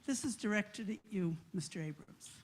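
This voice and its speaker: A woman speaks up from the audience and presses her point hard, repeating it with insistence. Her delivery is forceful and direct. Her pitch sits in an ordinary middle range for a woman. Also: animated, moderate pace, a little hiss or hum. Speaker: chaplain and audience questioner. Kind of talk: pointed question from the floor at a public forum.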